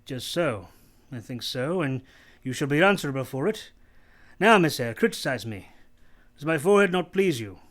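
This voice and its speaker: An actor playing a brusque, teasing, moody gentleman master of the house in a radio play, imperious and halting. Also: on the high side, expressive. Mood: challenging. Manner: imperious, abrupt, probing, halting delivery.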